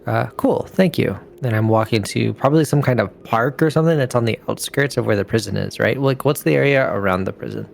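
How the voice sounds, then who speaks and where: A man's voice: medium pitch, a little fast, gravelly, few pauses. A man playing a tabletop role-playing game, talking casually through his moves.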